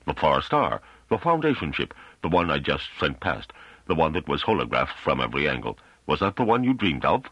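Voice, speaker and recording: A man's voice: a bass voice, sing-song, a little fast, fairly bright tone, slightly rough, no echo, exaggerated voice. A man voicing a big male underling, falsely humble and needling, in an audiobook with a dull recording. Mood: probing.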